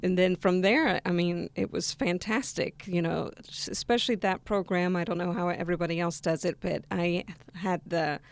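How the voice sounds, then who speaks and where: A woman's voice: on the low side, fairly even intonation, normal speed, gravelly, hardly any pauses, smooth. A young woman from Argentina, a podcast guest, answering in a hesitant conversational way with many ums and restarts. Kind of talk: conversational interview. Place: studio table across from host.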